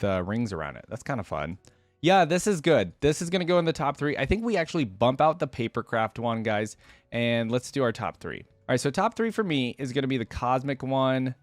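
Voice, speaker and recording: Guy doing a chatty reaction vid, mid pitch, thinking out loud, really dry close sound. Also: no echo. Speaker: reaction video host. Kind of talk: casual unscripted reaction commentary.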